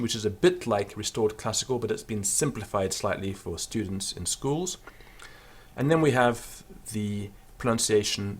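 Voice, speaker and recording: Man slowly explaining and demonstrating words. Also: fairly low pitch. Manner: unscripted explaining, with fillers and slow sung-out demonstrations of words. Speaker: Latin teacher. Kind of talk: spoken pronunciation lesson.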